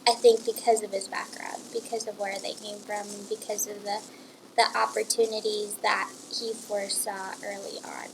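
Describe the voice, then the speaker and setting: A woman's voice: mid-range voice, flat delivery, normal speed, vocal fry, a little hiss or hum, rough recording. A young woman who grew up in the United States with Romanian as her first language, chatting casually as a guest in a coffee shop with many "um"s and "like"s. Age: young adult. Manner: chatty, laughs often, frequent "um" and "like". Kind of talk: casual interview chat.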